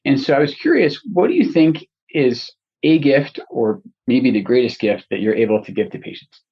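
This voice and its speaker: A man from Scotland hosting a podcast interview, talking in a friendly, halting way with many restarts. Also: mid-range voice, lively intonation, husky, dull audio. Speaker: podcast host. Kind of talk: conversational interview.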